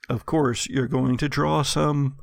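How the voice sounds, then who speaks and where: An American man working as game master, narrating and ruling on a tabletop game in a measured, descriptive way with deliberate pauses. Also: mid-range voice, fairly even intonation, creaky, no room sound, clean studio sound. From United States. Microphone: close studio microphone.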